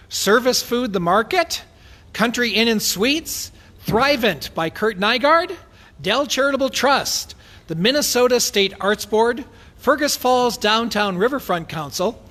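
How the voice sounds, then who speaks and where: High-voiced man hosting in a reverberant hall. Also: a bit bright. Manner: polite, formal, somewhat hesitant. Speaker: event host. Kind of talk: live thank-you announcement to audience.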